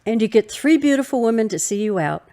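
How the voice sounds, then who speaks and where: An actress playing a cheerful hospital nurse. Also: female voice, a little high, lively intonation, quick pace, warm tone.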